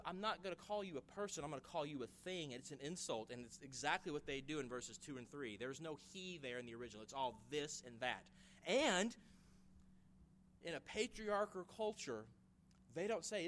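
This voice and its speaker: A man preaches in a relaxed, conversational way, lifting his voice on questions and slipping in jokes. His voice sits a little high, and a faint hum runs under the recording. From Memphis, Tennessee. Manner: conversational, joking, lively rises on questions. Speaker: guest preacher, church planter. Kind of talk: sermon.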